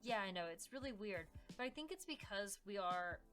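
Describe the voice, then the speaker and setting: A woman's voice: mid-range voice, lively intonation, normal speed, fairly bright tone, faint music behind. A woman co-hosting a podcast in character as a fey demon, telling family stories in an informal, hesitant way. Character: fey demon woman gossiping about humans. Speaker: podcast co-host.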